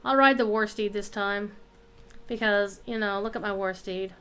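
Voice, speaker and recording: A woman's voice: medium pitch, fairly even intonation, normal speed, a bit bright, smooth. A woman game streamer chatting casually, talking to herself and her viewers as she plays. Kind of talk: casual live gameplay chat.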